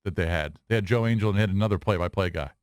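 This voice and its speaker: Lowish, quick man, dry sound. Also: dry recording. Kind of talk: casual radio chat.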